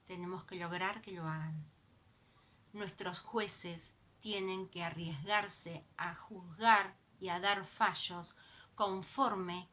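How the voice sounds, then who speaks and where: A woman's voice: on the low side, pushed and bright, slight background noise. A woman from Argentina with an Argentine Spanish accent, giving an earnest, impassioned recorded video message as an activist. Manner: earnest, impassioned, repeats for emphasis.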